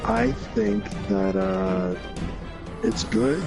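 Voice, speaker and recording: A man's voice: lowish voice, huge pitch swings, very slow, vocal fry. A man giving his opinions in a casual gaming discussion, explaining emphatically point by point. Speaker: gaming show panelist. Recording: music behind the voice.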